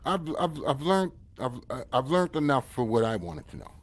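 A man's voice: mid-range voice, very animated, normal speed. A man, talking casually as a guest and rambling with constant repeats of "you get what I'm saying". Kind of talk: casual conversation.

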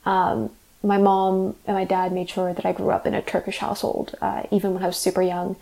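A young woman who grew up in the United States, a college student telling her own story in a measured, thoughtful way. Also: medium pitch, completely flat delivery, gravelly, dark tone, poor audio. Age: young adult. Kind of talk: reflective personal narration.

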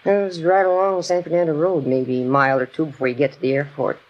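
A woman's voice: low voice, little pitch movement, fast, highs cut off, natural voice. An actress playing a trusting woman shop owner who has been swindled, rambling and bewildered in a 1950s radio crime drama. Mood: earnest, explaining. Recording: noisy old radio recording.

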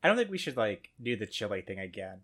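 A man co-hosting a podcast in casual comedic chat, halting and brainstorming with many fillers. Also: fairly low pitch, sing-song, fairly bright tone. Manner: halting, lots of ums and likes, brainstorming. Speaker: podcast co-host.

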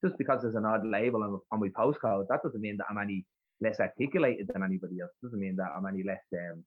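A young man with a rough north Dublin accent, a podcast guest chatting casually and rambling with plenty of fillers and restarts. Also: lowish voice, quick pace. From Dublin, Ireland. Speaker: podcast guest, spoken word poet. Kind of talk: casual interview chat. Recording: husky voice over a tinny remote line.

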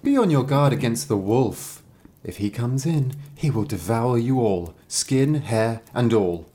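Caring old mother goat in a storybook played by a man, earnest warnings, animated intonation. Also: medium pitch, unhurried, close to an everyday voice. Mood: anxious warning.

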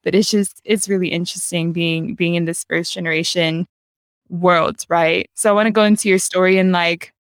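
A woman's voice: lowish voice, steady tone, moderate pace, smooth, no echo. A young adult woman hosting a casual podcast conversation, chatty and affirming, talking with enthusiasm. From United States. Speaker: podcast host.

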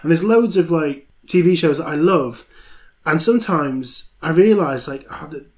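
A man's voice: fairly high pitch, lively intonation, normal speed, a little mellow. A man in his thirties, a stand-up comedian talking casually and thoughtfully, with many fillers and restarts. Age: 30s. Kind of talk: casual podcast conversation.

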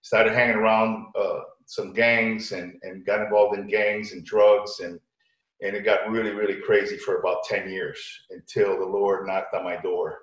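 Middle-aged man, lowish voice, halting casual storytelling, repeated words, echoey room. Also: flat delivery, a bit bright. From Connecticut, United States. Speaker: podcast guest. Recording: echoey room, dull lo-fi sound.

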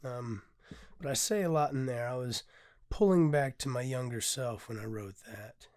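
A hoarse man hosts a relaxed solo podcast, sounding tired and speaking in a loose, candid way. He pauses often on filler sounds and swears without a second thought. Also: medium pitch. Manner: candid, swears freely, frequent ums. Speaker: podcast host and poet. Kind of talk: casual solo podcast talk.

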